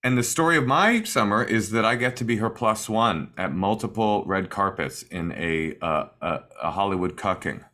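A man with a fairly low voice co-hosts a comedy podcast from a hotel room. He jokes and teases in casual banter, often poking fun at himself. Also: normal speed, hardly any pauses, pushed and bright. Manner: joking, teasing, self-mocking. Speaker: podcast co-host.

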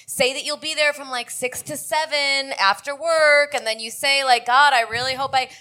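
A woman's voice: high voice, steady tone, moderate pace, pushed and bright. Blunt, opinionated female co-host. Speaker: podcast co-host. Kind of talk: live comedy podcast advice. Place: live festival stage.

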